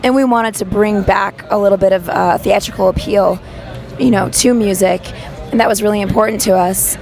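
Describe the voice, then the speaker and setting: A hoarse woman singer from a band speaks earnestly and steadily in an interview. Her pitch is ordinary, and some music plays faintly behind her. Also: fairly even intonation, moderate pace, darkish tone. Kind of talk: casual interview answers.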